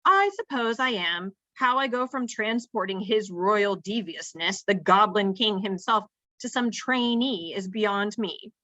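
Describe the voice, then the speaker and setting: A woman's voice: medium pitch, animated, measured pace, few pauses, fairly bright tone, husky, no room sound, dull audio, heavily acted. Woman acting a snooty lord of a talking elevator. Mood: grudging, self-important. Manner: haughty, drawling with disdain.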